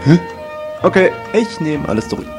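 A man with a fairly high voice and lively intonation talks casually as an amateur audio play performer. Music sits clearly behind him. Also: normal speed. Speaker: podcast cast member in an amateur audio play. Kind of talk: casual chat and amateur radio-play acting.

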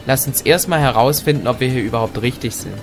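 A young man plays the sensible one in a comic audio drama. His voice sits at a middle pitch, with clear music underneath. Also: fast talker. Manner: sensible peacemaker. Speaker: performer playing himself in audio drama. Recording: music bed behind the voice.

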